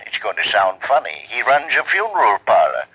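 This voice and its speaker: A rambling, philosophical sidekick, with a husky voice that swings extravagantly up and down. He is wry and theatrical, heard through a dull vintage radio recording. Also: on the low side, huge pitch swings, fairly quick, heavily acted. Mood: wry, confiding. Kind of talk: 1940s radio drama acting. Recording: muffled old radio recording.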